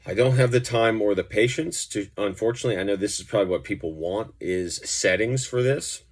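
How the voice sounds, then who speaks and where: A man with a low-pitched voice talks through a gear demonstration as a musician would. His delivery is informal and loosely explanatory, wandering from point to point in a conversational way. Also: a little fast, breathy edge. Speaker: pedal reviewer and musician. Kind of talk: casual gear demonstration talk.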